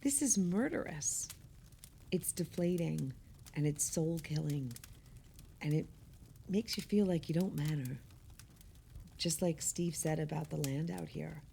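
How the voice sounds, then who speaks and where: A woman's voice: deep voice, measured pace, vocal fry, long pauses, warm tone, a little hiss or hum. An actress playing an earnest, exasperated woman with wry opinions, speaking emphatically in conversational radio play dialogue.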